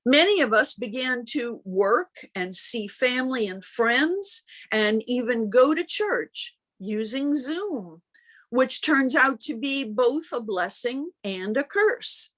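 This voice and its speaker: A woman minister giving a sermon in deliberate, emphatic phrases with pauses, speaking over a video call. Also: a little high, lively intonation, a bit bright. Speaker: minister preaching.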